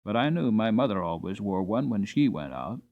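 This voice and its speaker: Male audiobook narrator, theatrical and deliberate, very animated intonation. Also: lowish voice, phone-quality sound. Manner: theatrical, deliberate, emphatic phrasing. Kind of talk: dramatic storybook narration in the first person.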